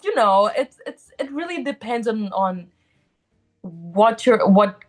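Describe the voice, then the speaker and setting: A woman's voice: medium pitch, sing-song, slow, faint music behind. A young woman in her twenties from Brazil, with a Brazilian accent, chatting as an interview guest and filling her talk with "like" and "um". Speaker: guest, actor. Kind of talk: casual interview chat.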